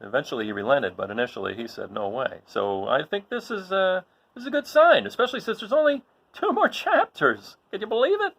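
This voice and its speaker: Very animated, husky male storyteller. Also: high-pitched, brisk. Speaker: storybook reader and host. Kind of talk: expressive children's story read-aloud.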